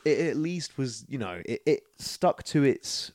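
A Scottish man co-hosting a podcast, chatting casually and playfully about films and games in an informal, excitable way. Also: mid-range voice, a little slow, dry recording, studio quality. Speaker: podcast co-host.

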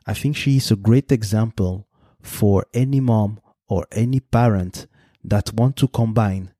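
A man's voice: lowish voice, dark tone, no echo, studio quality. A man hosting an interview podcast. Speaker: podcast host.

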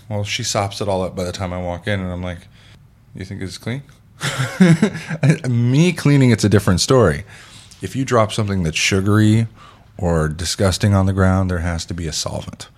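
A man in his thirties hosting a casual chat, telling stories animatedly, acting out quotes and swearing freely. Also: low-pitched. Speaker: podcast host.

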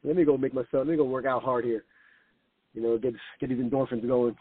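A husky-voiced man in his late forties talks casually in an interview. His speech is informal and full of slang, at an average pitch. The audio is muffled and lo-fi. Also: steady tone, fast talker, dry recording. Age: late 40s. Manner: slangy, informal, peppers talk with "bro" and "man". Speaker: comedian, interview guest. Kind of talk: casual conversational chat.

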